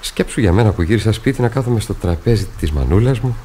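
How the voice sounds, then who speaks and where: An actor playing a weary, tormented young artist son in a radio play, halting and petulant, on an old recording. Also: male voice, lowish voice, animated, warm tone, slight background noise, lightly acted. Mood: languid, petulant. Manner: halting, heavy, intimate with his mother. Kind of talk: radio theatre acting.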